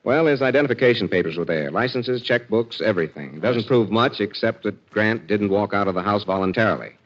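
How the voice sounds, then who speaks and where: A composed gentleman sleuth speaks in a fairly low voice. He is measured, probing and reassuring, in 1940s radio style, on a muffled lo-fi recording. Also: expressive. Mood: coolly reasoning. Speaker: actor in a mystery drama.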